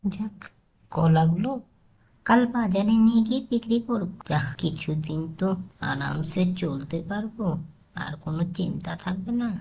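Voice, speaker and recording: A woman's voice: fairly low pitch, normal speed, gravelly, pauses often, darkish tone, a little hiss or hum. A woman playing a sly, boastful village thief in a children's cartoon, scheming aloud. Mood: self-satisfied. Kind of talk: Bengali children's cartoon voice acting. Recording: music playing behind the voice.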